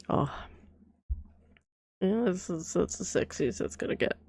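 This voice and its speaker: A woman streamer with a cold chats softly and rambles, her voice hoarse and scratchy, giggling and coughing often. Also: dry recording. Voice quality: deep, scratchy voice. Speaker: vtuber streamer. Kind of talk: soft-spoken casual stream chat.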